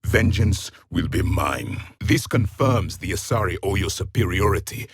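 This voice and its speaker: Casting: stern alien warrior, game character, disdainful formal delivery, slightly husky, very dry studio sound. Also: male voice, low-pitched, lively intonation, no room sound, full character voice. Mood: haughty, grave. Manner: haughty, disdainful, formal measured speech. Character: proud, stern alien warrior, last of an ancient race. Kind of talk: video game character voice lines.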